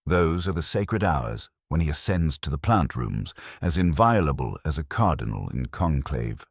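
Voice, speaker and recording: A man narrates a detective story in an extremely low voice. His delivery is wry and wisecracking, with lively swings in intonation. The sound is dry and close, with no room around it. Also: normal speed, gravelly, slightly rough, no echo. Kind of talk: scripted first-person detective audiobook narration.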